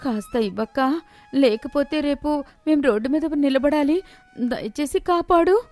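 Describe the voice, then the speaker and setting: A woman's voice: high-pitched, measured pace, darkish tone, pitched above the performer's own voice. A woman voicing an exasperated, crafty young wife, whiny and pleading, in a dramatized moral story. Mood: tearful pleading.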